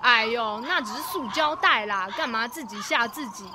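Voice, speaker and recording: A woman's voice: a little high, moderate pace, heavy background noise, lightly acted. A woman playing a bold, curious, thrill-seeking schoolgirl with a Taiwanese accent in a student radio drama. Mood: teasing, insistent. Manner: teasing, eager.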